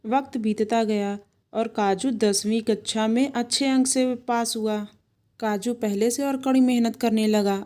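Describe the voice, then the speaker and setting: A woman narrating an animated moral tale in a scripted storytelling style. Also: on the high side.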